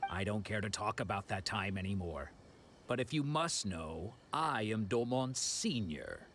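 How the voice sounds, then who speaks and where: An actor voicing a proud older male swordsman in a game, telling of his old training days in a measured, reflective way. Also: fairly low pitch, very animated, fairly bright tone, a little hiss or hum, exaggerated voice. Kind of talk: video game voice acting. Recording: game audio under background music.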